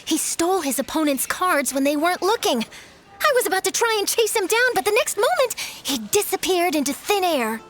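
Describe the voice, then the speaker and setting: Perky, very high-pitched young reporter. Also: female voice, sing-song, a little fast, a bit bright, a little hiss or hum, full character voice. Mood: chatty. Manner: perky, theatrical, salesy. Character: eager, pushy young female news reporter, bubbly and persuasive. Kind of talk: animated video game voice acting.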